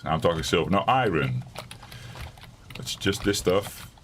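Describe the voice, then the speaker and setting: A man with a raspy voice streaming a survival game from home, chatting casually and rambling in a self-deprecating way. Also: sits low, animated, unhurried, a bit bright, slight background noise. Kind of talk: casual let's-play commentary.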